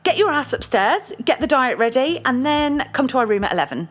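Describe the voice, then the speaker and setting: A woman's voice: high-pitched, sing-song, a little fast, soft background music. An actress playing a domineering, short-tempered girlfriend in an audio play, blunt, scolding and foul-mouthed. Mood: bossy, irritated.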